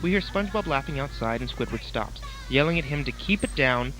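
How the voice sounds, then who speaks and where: A man's voice: mid-range voice, lively intonation, a little fast, background noise. A man reading a scripted horror story as its narrator. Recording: dull, lo-fi voice recording. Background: music.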